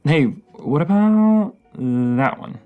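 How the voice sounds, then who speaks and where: A man playing a dorky, excitable partner who rambles like a horror-movie nerd in a casual, intimate roleplay, stammering and halting with enthusiasm. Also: fairly high pitch, smooth, phone-quality sound, soft background music. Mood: playful, curious.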